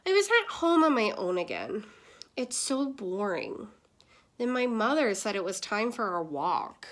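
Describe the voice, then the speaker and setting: A woman voices a shy little boy from a picture book. The voice is fairly high with lively movement, and the manner is simple, lonely and wistful. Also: full character voice. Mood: bored, wistful. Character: lonely, bored, shy young son of a posh mother.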